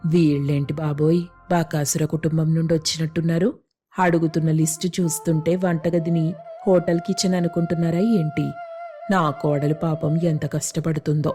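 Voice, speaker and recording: A woman playing a concerned, protective older mother-in-law in a family story, gently reproachful. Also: low-pitched, flat delivery, moderate pace, faint music behind, natural voice. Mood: worried muttering.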